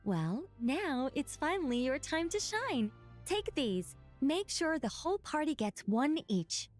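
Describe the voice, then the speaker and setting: A woman's voice: sits high, unhurried, soft background music. A woman playing a bubbly, proud chatterbox in a video game, who keeps interrupting herself. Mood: playful. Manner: animated, self-interrupting.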